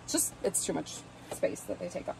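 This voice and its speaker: A woman's voice: fairly quick, a little mellow, a little hiss or hum, low-quality recording. A young adult woman vlogger chatting casually about her doll finds, with frequent ums and likes. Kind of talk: casual haul vlog chat.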